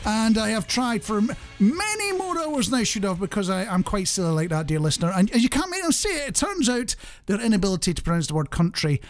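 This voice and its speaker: A man's voice: sits high, sing-song, fairly quick, faint music behind. A man hosting a light-hearted radio show, chatting in a jokey, self-deprecating way straight to the listener. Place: radio studio.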